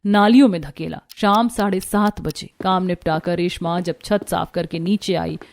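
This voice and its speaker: A woman's voice: on the low side, fairly even intonation, moderate pace, vocal fry. A woman narrating a scripted Hindi cartoon story as its storyteller.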